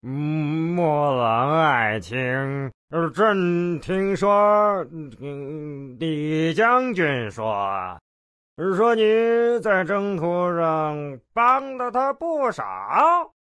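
A man voicing a doddering, pompous, lecherous emperor in a video game, hemming and halting as he talks. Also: on the high side, very animated, fairly bright tone, no room sound, studio quality, full character voice. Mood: hesitant, lecherous. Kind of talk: exaggerated game voice acting.

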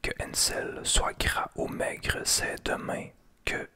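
A man softly whispering the lines of a cruel old child-eating witch in a storybook tale. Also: slow. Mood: commanding. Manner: whispered throughout.

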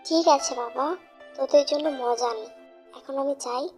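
A woman's voice: high-pitched, fairly even intonation, moderate pace, darkish tone, lightly acted. A woman voicing an anxious, hard-working animal mother who sells fruit in a fairy-tale cartoon. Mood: caring, instructing. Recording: dull lo-fi audio with music behind.

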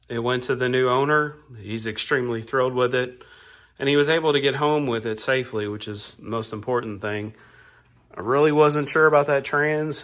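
A hoarse man hosting a garage vlog, chatting casually with lots of fillers while filming on a phone in his home garage. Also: mid-range voice. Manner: relaxed, filler-heavy rambling with frequent "um" and "you know". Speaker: garage channel host. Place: large home garage with car bays.

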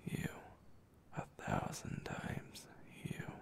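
A man softly whispering the lines of a shy young woman confessing her feelings in a storybook reading. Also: very slow. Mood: longing. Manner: soft whispered delivery.

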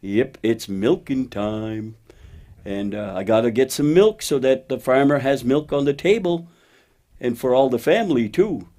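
A man voicing a steady, kindly cow who talks plainly and patiently, gently teaching, in a children's puppet show. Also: medium pitch, a little slow, close to an everyday voice. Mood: cheerful. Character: steady, faithful cow, a wise kindly friend.

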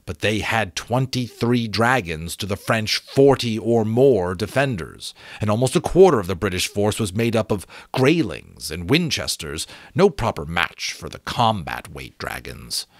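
A middle-aged man reading a novel aloud as expressive, deliberate dramatic narration, close to a desk microphone. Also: fairly low pitch, no room sound. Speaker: amateur audiobook reader. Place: small indoor room with bookshelves.

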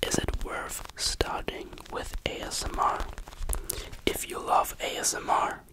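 An ASMR creator whispering answers to questions in English, halting and searching for words, close to the microphone. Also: low-quality recording.

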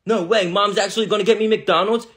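A performer voicing a glum, overlooked older brother with a resigned deadpan manner in a comedy cartoon. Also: medium pitch, quick pace, close to an everyday voice. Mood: smug, pleased.

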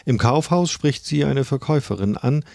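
A man's voice: mid-range voice, expressive, moderate pace, gravelly, darkish tone, slight background noise. A man reading scripted documentary narration about a crime case. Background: music.

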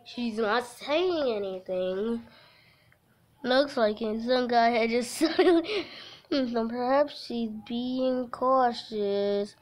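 A woman livestreaming a visual novel, reading the text aloud haltingly and adding goofy, casual asides. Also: fairly high pitch.

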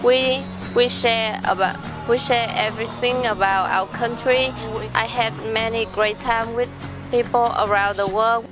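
Vietnamese woman, halting English, music behind. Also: fairly high pitch, steady tone. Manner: hesitant, simple English with slips. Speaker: volunteer. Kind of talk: interview answers.

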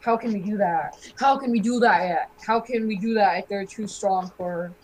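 A woman acting student characters in an amateur game roleplay, halting and repeating phrases. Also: mid-range voice, slight background noise, poor audio.

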